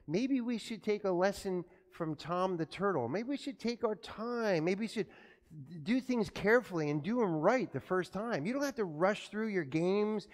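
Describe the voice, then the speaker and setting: A pastor giving a children's sermon in a church, telling an animated story and asking the children questions. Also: male voice, high-pitched. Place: church sanctuary. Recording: echoey church from far off.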